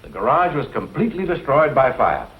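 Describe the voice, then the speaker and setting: An actor playing a courteous, talkative city attorney in an old film, speaking formally and precisely. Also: male voice, medium pitch, wildly swooping pitch, fairly quick, husky, full character voice. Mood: formal, regretful. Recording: muffled, noisy old film soundtrack.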